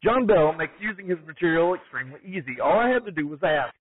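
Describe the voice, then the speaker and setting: A man's voice: on the high side, animated, quick pace. A man hosting a podcast interview, speaking in short, concise questions and answers over a narrow phone-like line.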